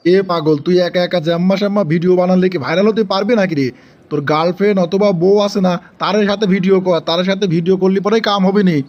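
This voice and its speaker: Man doing a high-voiced, scolding cartoon holy man. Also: steady tone, a little fast, dull audio, natural voice. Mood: exasperated. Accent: Bangladeshi. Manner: preachy, chiding, repeats commands. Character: male forest holy man, scolding, exasperated comic guru. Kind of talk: exaggerated comic cartoon acting.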